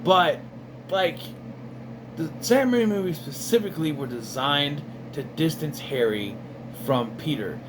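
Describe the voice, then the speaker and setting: A man in casual commentary, reviewing an animated series in a rambling, sarcastic way with lots of fillers. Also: a little high, measured pace, background noise. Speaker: video reviewer.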